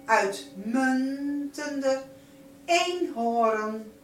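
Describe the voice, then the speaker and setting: Slow, high-voiced woman in solemn incantation. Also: expressive. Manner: solemn, incantatory, drawn-out phrasing with repetitions. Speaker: spiritual teacher leading a light-circle meditation. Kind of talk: spiritual guided meditation talk. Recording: roomy, distant microphone, noisy background.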